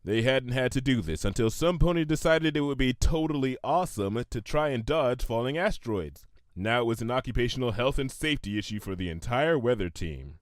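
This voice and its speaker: A man reading a comic story aloud in an expressive, scripted narration style. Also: medium pitch, fairly quick, no echo, clean studio sound. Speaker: narrator, fan fiction reader.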